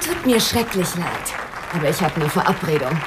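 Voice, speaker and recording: A woman's voice: fairly low pitch, lively intonation, normal speed, background noise, lightly acted. An actress playing a glamorous, flippant woman in a noir radio drama, flirtatious and coolly dismissive with a theatrical manner. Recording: bar music and crowd behind. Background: music and crowd of a bar scene.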